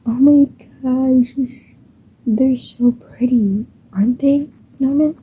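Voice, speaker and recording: A woman voicing a cheerful, eager eleven-year-old girl in a homemade audio drama, in a soft, half-whispered delivery. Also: sits high, slow pace, husky, voice pushed up, full character voice. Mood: bubbly. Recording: dull muffled home recording.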